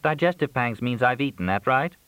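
A man's voice: mid-range voice, expressive, brisk, fairly bright tone, smooth, dry recording, pitched above the performer's own voice, lightly acted. A man voicing a plaintive, needy, hungry woman in audiobook dialogue.

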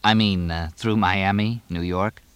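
A man's voice: deep voice, steady tone, measured pace, clear, resonant tone, no echo. A man voicing a wry, teasing horse owner in audiobook dialogue, dry and inquisitive as he banters. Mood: probing, teasing.

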